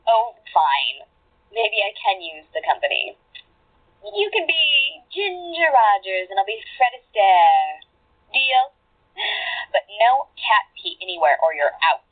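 A woman's voice: mid-range voice, husky, narrow, tinny audio, heavily acted. A woman playing a cocky, wry and playful thief in an audio drama, with a sardonic way of talking. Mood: coaxing, playful. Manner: sardonic, talks to herself and her cat.

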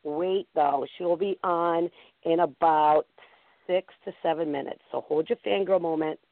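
A woman with a deep, raspy voice, hoarse and gravelly, hosts a live internet talk show. She chats in an excitable, rambling way and keeps talking to her listeners. The sound is dry and tinny, like a phone line. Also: unhurried, no echo. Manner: rambling, excitable, talks to the chat room. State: hoarse from a concert. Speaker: podcast host. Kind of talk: casual live internet radio chat.

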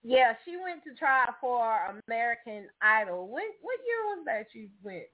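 A woman's voice: a little high, big pitch swings, normal speed. A woman hosting a casual talk show, chatting with a guest and dropping in frequent affirming interjections. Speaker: show host. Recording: phone-like line with faint noise.

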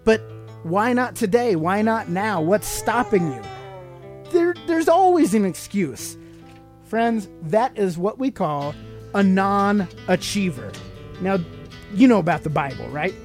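A man's voice: high-pitched, expressive, slow, soft background music. Emphatic American man, motivational podcast host. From United States. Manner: emphatic, preachy, rhetorical questions. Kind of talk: motivational talk to listeners.

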